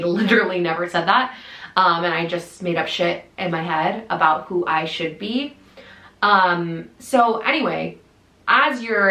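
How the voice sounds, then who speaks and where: A woman's voice: fairly low pitch, a bit bright. A woman in her late twenties talking candidly to camera, chatty and open, with lots of fillers and restarts. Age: late 20s. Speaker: content creator. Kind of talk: casual talk to camera. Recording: echoey room.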